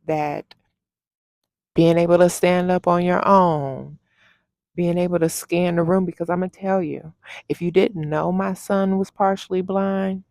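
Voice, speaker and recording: A woman speaks slowly and sincerely, as if sharing personal testimony on a vlog. Her lower-pitched voice often settles into gravelly fry. She offers heartfelt, faith-filled encouragement in a close, dry sound. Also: steady tone, darkish tone, no room sound. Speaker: personal vlogger. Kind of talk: personal testimony, talking to camera.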